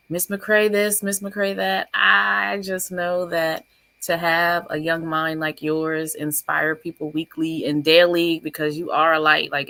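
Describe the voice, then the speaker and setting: A woman teacher appearing as a guest, speaking in a warm, encouraging way about faith. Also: lowish voice, dry recording. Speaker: guest, first-grade teacher. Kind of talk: casual chat.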